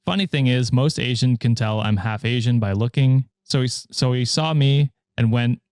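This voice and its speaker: A man's voice: medium pitch, moderate pace, dry recording. Male podcast host, american, reading stories aloud, dry close sound, laughs easily. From United States. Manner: laughs often, relaxed storytelling with fillers. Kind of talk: reading Reddit stories aloud in casual chat.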